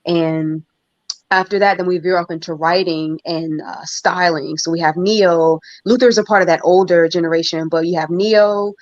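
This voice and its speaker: A woman singer from New York, talking thoughtfully about her music in a casual interview, with measured fillers. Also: on the low side, steady tone, no room sound. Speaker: singer guest. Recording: dull lo-fi dry sound.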